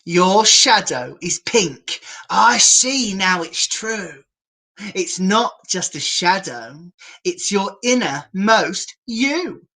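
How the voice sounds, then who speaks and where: A woman voicing a gentle, earnest father in a children's storybook, speaking softly and instructively. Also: medium pitch, expressive, heavily acted. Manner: soft, slow, instructive.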